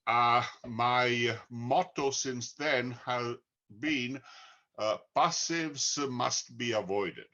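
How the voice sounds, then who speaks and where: A man with an Italian accent, a book co-author, talking slowly and deliberately with many pauses. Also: medium pitch, sharp tone, muffled recording. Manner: slow, deliberate, frequent "uh" pauses. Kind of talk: conversational talk.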